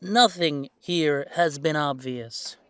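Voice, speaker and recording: A man playing an earnest young adult werewolf son in an audio drama, halting but indignant as he stands up for himself. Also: on the high side, fairly bright tone, smooth, no room sound. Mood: protective, indignant. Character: defiant grown werewolf son, earnest and protective. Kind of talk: scripted audio drama acting.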